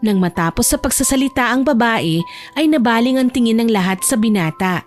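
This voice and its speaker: Female storyteller, scripted romance narration, higher pitch, faint background music. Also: expressive, brisk.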